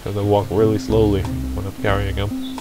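Low-voiced man musing over game music. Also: completely flat delivery, moderate pace. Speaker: gamer, let's play host. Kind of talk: casual video game commentary, thinking aloud. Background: video game music.

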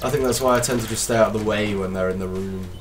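A man with a slight cold, casually commentating his gameplay as a let's play host, excitable and self-deprecating. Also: on the low side, fast talker, far from the mic, noisy background.

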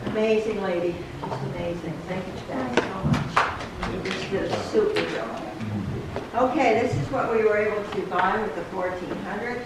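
A middle-aged woman speaks chattily and enthusiastically to a congregation from a pulpit. Her voice carries through a very echoey wooden sanctuary. It is picked up from a distance, with steady background noise. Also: mid-range voice, moderate pace. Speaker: church member giving an announcement. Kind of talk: casual announcement to a congregation. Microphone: stand mic at the pulpit, far camera.

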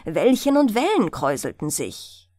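A woman narrating a fairy tale as a bedtime audiobook, in an expressive, unhurried storytelling manner. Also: mid-range voice, dry recording, clean studio sound.

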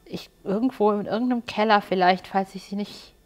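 A woman's voice: mid-range voice, fairly quick. A middle-aged woman from Germany chatting enthusiastically as an interview guest, a podcast host and music journalist. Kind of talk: lively interview chat. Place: small indoor talk-show set, seated at a table.

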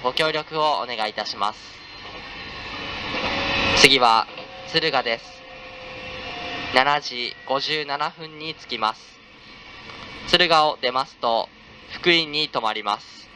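A male train conductor with a medium-pitched voice makes an onboard announcement. He speaks slowly, politely and formally. The roar of the moving train is loud behind him. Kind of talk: live scripted onboard announcement. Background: loud train running noise.

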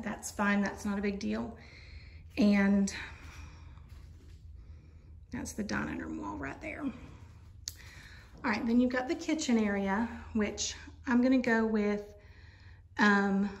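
Woman, slightly high voice, casual rambling home-tour vlogger on a handheld phone. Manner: chatty, rambling, many fillers. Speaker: vlogger touring a mobile home. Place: inside an empty model home. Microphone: handheld phone camera.

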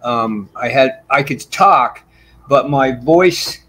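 A man in his sixties, a bassist guest telling long rambling stories in a casual interview over a video call from a small home room. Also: slow, a bit bright. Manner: talkative, rambling storyteller. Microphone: video call with desk mic.

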